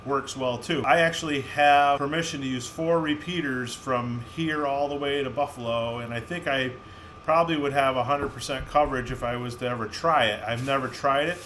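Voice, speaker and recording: A man hosts a casual vlog in an ordinary mid-range voice. He talks to his viewers in a plain-spoken, conversational way. There is some steady noise behind him. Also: mid-range voice, normal speed. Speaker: vlog host.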